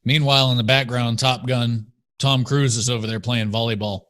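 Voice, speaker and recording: A man's voice: medium pitch, fairly even intonation, normal speed, a bit bright, dry recording, clean studio sound. An American man hosting a podcast in casual talk, with joking, sarcastic asides. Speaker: podcast host.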